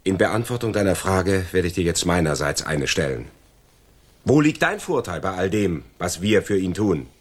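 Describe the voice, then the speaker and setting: A loyal male crook in a radio crime play, with a fairly low, husky voice. His intonation is lively, and he probes and persuades with earnest persistence. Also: moderate pace. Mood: probing, pressing. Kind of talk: German radio crime drama acting.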